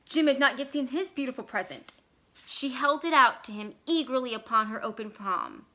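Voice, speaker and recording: A woman narrates a story in a bright, fairly high voice. Her reading is expressive, with deliberate dramatic phrasing. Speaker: library staff storyteller.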